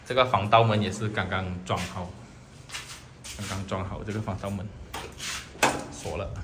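A man's voice: fairly low pitch, fairly even intonation, moderate pace, long reverb tail, very noisy. A man from Malaysia walking through his house under renovation, chatting casually as he points things out on a handheld camera. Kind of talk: casual walkthrough narration. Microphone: handheld action camera.

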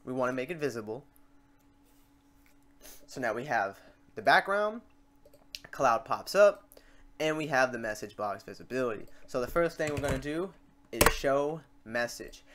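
A man hosting a casual, step-by-step software tutorial, talking informally to his viewers. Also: medium pitch, animated, moderate pace, long pauses. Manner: informal, addressing viewers as guys. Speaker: tutorial host. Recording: clear background noise, keyboard typing, clicks. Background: keyboard typing and mouse clicks.

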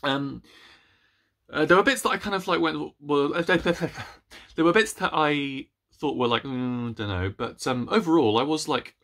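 A man in his thirties chatting casually to camera as a book vlogger, playful, rambling and animated, with self-deprecating asides. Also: on the high side, a little slow. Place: small room at home with bookshelves.

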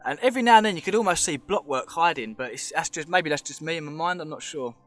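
A man's voice: on the high side, animated, quick pace, fairly bright tone, soft background music. Chatty man filming in a cave. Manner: chatty, rambling, speculative, points things out. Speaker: vlogger exploring a show cave. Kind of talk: casual handheld commentary. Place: underground cave tunnel.

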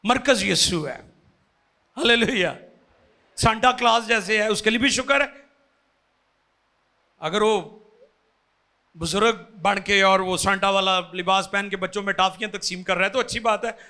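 An impassioned pastor in his forties preaches into a handheld mic on a church stage. His voice is high and bright, a gravelly edge, and he swells into loud, fervent peaks. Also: a little slow, pauses often. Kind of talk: sermon. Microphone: handheld microphone.

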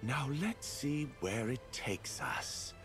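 An actor playing a smug, scheming game villain who talks in a courtly, mocking, deliberate way. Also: male voice, medium pitch, lively intonation, music in the background. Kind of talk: video game villain acting.

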